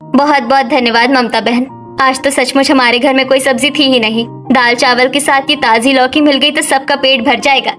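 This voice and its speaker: A woman's voice: high voice, steady tone, moderate pace, fairly bright tone, soft background music. A woman playing a sensible, determined eldest daughter-in-law from a village in a cartoon story. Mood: calm, practical.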